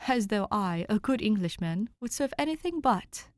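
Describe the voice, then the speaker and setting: A woman's voice: medium pitch, animated, dry recording, studio quality, natural voice. Woman voicing a refined, courteous elderly English butler. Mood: proudly affronted. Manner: formal, measured, courteous.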